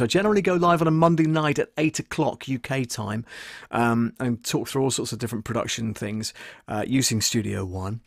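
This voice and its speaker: A man's voice: mid-range voice, no room sound. A man in his fifties chatting informally and casually as he hosts a tutorial for viewers, close on a desk microphone. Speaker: audio producer and live-stream host. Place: small soundproofed home studio in a converted shed. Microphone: desk condenser microphone, close.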